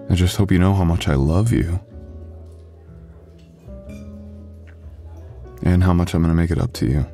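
A man playing a sweet, apologetic, devoted young husband in an intimate boyfriend ASMR roleplay, with a halting, tender, self-deprecating delivery. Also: low-pitched, dark tone. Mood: apologetic, loving.

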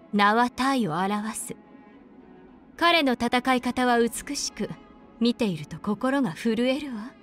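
A female game assassin, high voice, elegant, coquettish, coolly menacing, music underneath. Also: fairly bright tone. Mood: composed, wistful. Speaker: game character voice actor. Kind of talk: scripted Japanese video game character voice lines. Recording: clean studio voice over game music.

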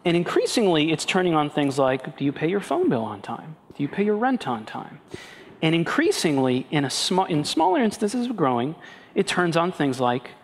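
A man in his thirties, originally from Peru, makes his points with earnest emphasis and frequent restarts on a panel stage. His voice sits a little high for a man and carries a long hall echo with faint background noise. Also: wildly swooping pitch, normal speed. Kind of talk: panel discussion. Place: large banquet hall on stage. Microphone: headset mic.

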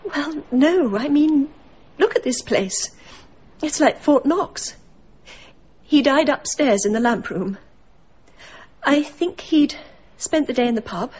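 An actress playing a shaken, haunted grieving woman in a radio drama, halting and fragile in her delivery. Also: high-pitched, animated, a little mellow, muffled recording. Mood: subdued, bewildered.

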